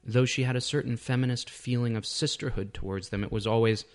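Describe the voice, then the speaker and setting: A man's voice: mid-range voice, steady tone, a little fast. A man reading his own short story as scripted literary narration.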